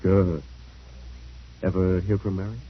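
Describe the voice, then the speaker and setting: An actor playing a worn, luckless middle-aged brother, wry and self-pitying, in an old-time radio drama. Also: male voice, low voice, flat delivery, measured pace, a little hiss or hum. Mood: sly, wry. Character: worn, luckless ne'er-do-well brother, wryly ingratiating. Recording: muffled old radio recording.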